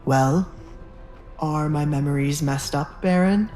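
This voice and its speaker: Woman voicing a low, menacing young nobleman. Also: slow pace, dark tone, soft background music. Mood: menacing, mocking. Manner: low-toned, threatening. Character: menacing, possessive young nobleman with a sword, quietly unhinged. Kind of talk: audio romance drama.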